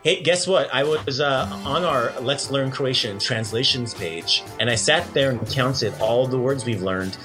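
A man's voice: medium pitch, measured pace, hardly any pauses, music in the background. A man hosting a podcast language lesson in a casual, playful and encouraging way. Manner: encouraging, praising the learner often.

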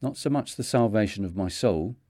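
A man in his fifties with a Manchester accent, hosting a podcast and narrating his own story in a measured, earnest way. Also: lowish voice, animated, a little mellow, no room sound, clean studio sound. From North of England. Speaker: podcast host. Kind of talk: scripted reflective narration.

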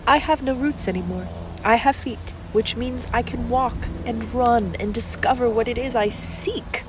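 Radio play: ancient tree spirit turned human, played by a woman. Higher-pitched, wondering, poetic, earnest; slight noise behind. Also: normal speed, lightly acted.

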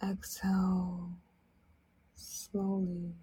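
A woman leading a guided sleep meditation in a soft, breathy, partly whispered voice, gently soothing, speaking in short phrases with long pauses. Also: lowish voice, completely flat delivery. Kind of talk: guided sleep meditation, slow instructional narration.

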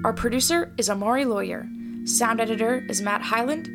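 A woman's voice: a little high, animated, brisk. A woman reading scripted closing credits as the announcer of an audio drama. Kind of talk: scripted narration. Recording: loud music behind the voice.

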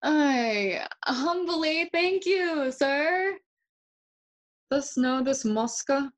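A woman playing a brooding, mocking young Shakespearean prince in an audio play, theatrical and deliberate, moving between English and Spanish. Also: high voice, expressive, exaggerated voice. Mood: mock courteous.

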